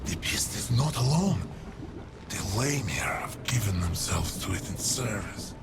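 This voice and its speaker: A man playing a weary, ancient nature guardian creature in a video game, speaking gravely and haltingly in a gravelly voice. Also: on the low side, lively intonation, heavy background noise. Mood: grave warning. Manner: grave, halting, archaic phrasing. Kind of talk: video game cutscene acting. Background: loud music.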